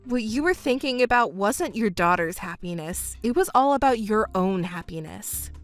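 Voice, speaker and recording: A woman voicing a calm, cold young stepdaughter in a melodramatic audio drama. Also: medium pitch, faint music behind, lightly acted. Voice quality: cool, measured, firm.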